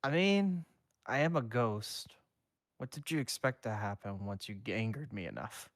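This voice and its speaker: A man voicing a calm, gentle half-ghost teenage boy in a soft, reassuring way. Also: fairly low pitch, normal speed, dry recording, studio quality. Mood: offhand.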